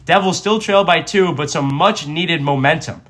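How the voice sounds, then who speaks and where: A man's voice: a little high, moderate pace, fairly bright tone. A man working as a sports reporter, narrating a scripted hockey game recap.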